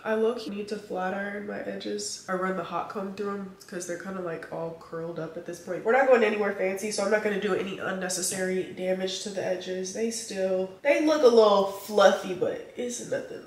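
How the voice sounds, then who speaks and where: A woman's voice: mid-range voice, a little fast, hardly any pauses. Chatty congested young woman, roomy echo. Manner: chatty, animated, slangy asides to viewers. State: congested, nasal from allergies. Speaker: vlogger. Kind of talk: casual chat to camera. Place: indoors at home. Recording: roomy echo, a bit off-mic.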